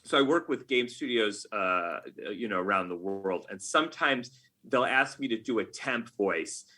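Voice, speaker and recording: A man's voice: on the low side, animated, normal speed, sharp tone. An American man answering as an interview guest in a conversational way, often backing up and rewording his explanations as he goes. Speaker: podcast guest, video game writer.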